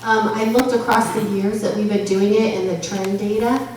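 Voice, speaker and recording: A woman's voice: mid-range voice, steady tone, moderate pace, darkish tone. A woman, a school principal, presenting at a public meeting in an informal, explanatory way. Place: large echoey meeting room. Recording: distant mic, very echoey room, faint noise.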